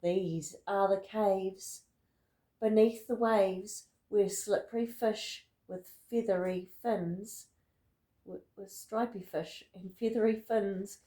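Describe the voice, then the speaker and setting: Slow, soft-toned woman reading a storybook. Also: mid-range voice, fairly even intonation, roomy sound. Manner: dramatic, deliberate storytelling with emphatic words. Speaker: storybook reader. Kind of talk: expressive picture-book read-aloud in rhyme.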